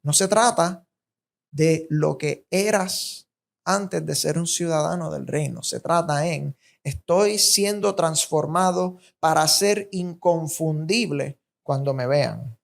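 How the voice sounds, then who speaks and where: A man's voice: fairly high pitch, slow pace, vocal fry. A man from Puerto Rico with a Puerto Rican accent, preaching a sermon with emphatic, rhythmic repetition that rises on key points. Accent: Puerto Rican Spanish.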